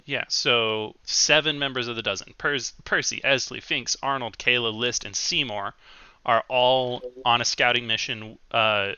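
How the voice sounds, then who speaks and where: A man's voice: medium pitch, steady tone, a little slow, pushed and bright, clear, resonant tone, no echo, highs cut off. A man acting as game master, recapping the last session in a loose way with many ums and self-corrections.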